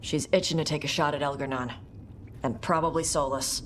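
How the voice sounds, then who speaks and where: A woman's voice: deep voice, fairly even intonation, brisk, slight background noise, close to an everyday voice. A woman voicing a brave young dragon hunter in a video game, speaking in blunt, sincere, short phrases. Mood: bold. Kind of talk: video game character acting.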